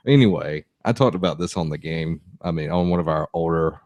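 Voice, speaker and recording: A very low-pitched man talks games on a podcast, loose and rambling, often restarting mid-thought. His voice sits close and dry, with almost no room around it. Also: brisk, a little mellow, no echo. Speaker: podcast co-host. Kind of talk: casual chat about games.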